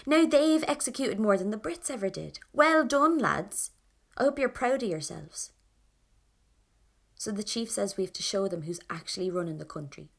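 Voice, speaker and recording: Wry young Irish rebel narrator. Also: female voice, mid-range voice, expressive, normal speed, gravelly. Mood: bitterly sarcastic. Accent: Irish, Dublin. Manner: colloquial, wry, dramatic storytelling. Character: young female anti-Treaty rebel in 1920s Dublin, wry and defiant. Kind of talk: first-person audio drama narration.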